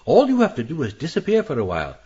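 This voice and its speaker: An actor playing a smug, scheming English gentleman murderer in a radio mystery, suave, condescending and dryly sarcastic. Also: on the high side, wildly swooping pitch, fast talker. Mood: smooth, condescending. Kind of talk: radio mystery drama acting. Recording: slightly dull, muffled audio.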